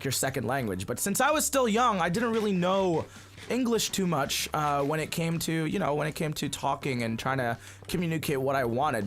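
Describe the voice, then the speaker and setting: A man with a somewhat high voice, originally from the Philippines, chats loosely to his viewers while he plays. His talk wanders, full of fillers and restarts, and he keeps calling the audience "you guys.". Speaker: gaming channel host. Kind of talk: casual storytelling chat while playing a game. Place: at home, family cleaning in the house.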